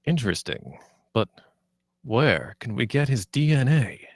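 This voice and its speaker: A man's voice: mid-range voice, big pitch swings, unhurried, no echo, studio quality. A man playing a blunt, irritable spaceship captain in a sci-fi comedy table read. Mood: irritated, commanding.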